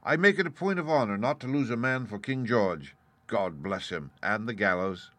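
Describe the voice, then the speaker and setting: Man as a brisk, bright-voiced gentleman ship's doctor. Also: lowish voice, lively intonation, dry recording. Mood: pleasant, wry. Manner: breezy, educated, dryly mocking.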